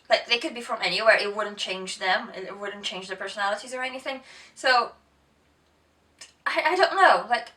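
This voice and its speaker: A woman with a blended Brazilian, American, English and Northern Irish accent talks to camera in a chatty, giggly way, full of ums and self-corrections. Her voice is bright and a little high, and she sits some way back from the mic. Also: recorded from a distance. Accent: mixed Brazilian, American, English and Northern Irish. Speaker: book vlogger. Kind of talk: casual chat to camera.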